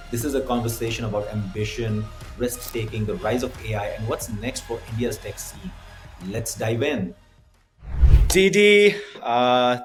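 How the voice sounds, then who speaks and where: A man hosting a podcast, asking questions in a deliberate, unhurried way with some fillers. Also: medium pitch. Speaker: podcast host. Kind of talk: interview hosting.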